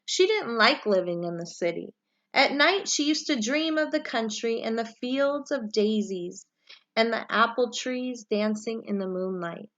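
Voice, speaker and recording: A woman reading a children's picture book aloud, with expressive, deliberate storytelling phrasing. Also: on the high side, highs cut off. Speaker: story reader, read-aloud for young children.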